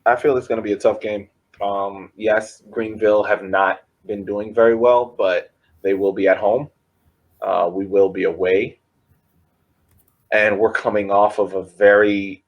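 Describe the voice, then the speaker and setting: A man co-hosting a soccer podcast, talking casually with many pauses and restarts. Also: deep voice. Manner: hesitant, many ums and restarts. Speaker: podcast co-host reporting on a press conference.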